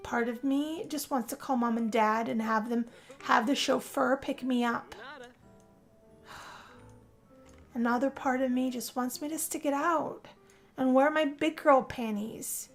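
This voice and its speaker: A woman playing a homesick, dramatic young female music student who complains theatrically in a game role-play narration. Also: sits high.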